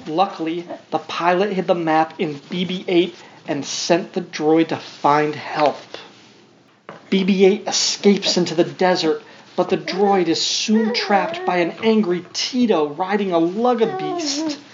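Woman, read-along storybook narration, child-directed asides, medium pitch, dull home recording, faint background noise. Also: measured pace. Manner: simple child-directed exclamations and questions. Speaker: parent reading aloud to a young child.

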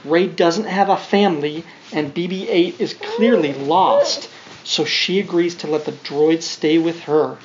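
A woman's voice: lowish voice, unhurried. A woman reading a storybook aloud to a young child, as a parent would, with simple asides and questions. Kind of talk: read-along storybook narration with spontaneous asides to a child. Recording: dull home recording with faint noise.

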